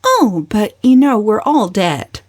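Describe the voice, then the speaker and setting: A woman's voice: medium pitch, no room sound, studio quality, voice pushed up. Woman playing a defiant, dryly witty young woman. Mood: calm, amused. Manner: calm, dryly sardonic, deliberate. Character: defiant, witty, unflappable 29-year-old unmarried woman.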